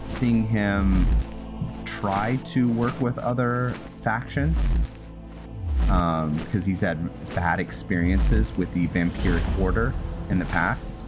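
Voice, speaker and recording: A man giving casual game commentary as he plays, thinking aloud in a halting way. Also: low-pitched. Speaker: gaming video host. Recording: loud game music behind the voice. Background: loud video game music.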